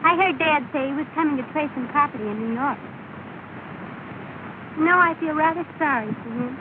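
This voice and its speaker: A woman's voice: high voice, normal speed, natural voice. An actress playing a poised, kindly, lightly teasing young society woman in a 1930s film. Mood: light, evasive. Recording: tinny, noisy 1930 film soundtrack.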